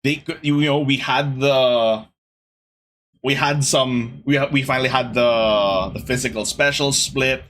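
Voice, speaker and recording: A young man hosting a casual gaming podcast, talking to his chat in an animated, exasperated way. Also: medium pitch, a little slow. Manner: animated, exasperated ranting with laughs. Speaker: podcast host.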